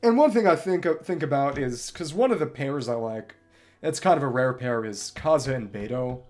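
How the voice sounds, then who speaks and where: A man's voice: medium pitch, lively intonation, moderate pace, a little mellow. A man chatting casually on a gaming livestream, wordy and thoughtful, often hesitating as he searches for words. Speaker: game streamer. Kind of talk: casual rambling livestream chat while gaming. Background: game music.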